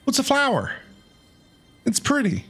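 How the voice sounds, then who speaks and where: A man's voice: very high voice, measured pace, creaky, husky, soft background music. A man giving casual, exclamatory reaction commentary as he streams a video game. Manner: animated, exclamatory.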